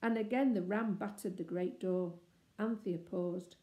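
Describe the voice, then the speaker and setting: A woman reading a children's novel aloud in expressive, scripted narration. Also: medium pitch, measured pace. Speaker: storybook reader. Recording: echoey, roomy sound.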